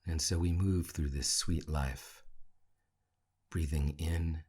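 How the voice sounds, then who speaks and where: A man leading a guided meditation in a hushed, soothing, gravelly and husky voice, leaving long pauses between short phrases. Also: rumbling low, steady tone. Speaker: meditation teacher.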